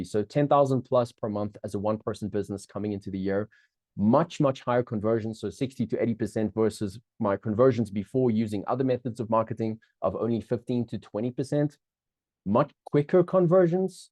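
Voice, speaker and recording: Mellow-voiced South African man presenting. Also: fairly low pitch, no echo. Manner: upbeat, chatty, many restarts, keeps checking in with the audience. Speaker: business coach hosting a live webinar. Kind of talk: live online masterclass presentation.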